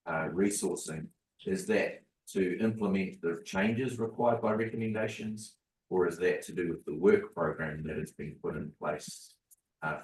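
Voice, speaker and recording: A man on a council committee raising concerns in a deliberate, formal way. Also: deep voice, roomy sound, far from the mic, rough recording. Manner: deliberate, formal, many ums. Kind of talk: committee discussion. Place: council chamber meeting.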